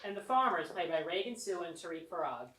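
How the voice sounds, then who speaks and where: Bright-voiced woman hosting in an echoey room. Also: lowish voice, expressive, fast talker. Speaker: event host, club presenter. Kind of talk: scripted co-hosted announcements. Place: college theatre, indoors. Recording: roomy theatre echo.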